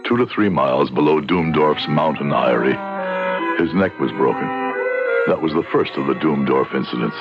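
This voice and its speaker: A man's voice: rumbling low, animated, moderate pace. A man acting a grave, courtly frontier judge who narrates a radio drama in formal, literary phrases. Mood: ominous recounting. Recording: dull lo-fi radio, music behind.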